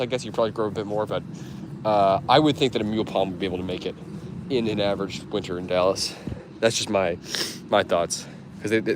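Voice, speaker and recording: A man's voice: fairly low pitch, slight background noise. A man with a stuffed-up cold voice, chatting casually on a livestream, rambling with lots of fillers and restarts. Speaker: livestream host.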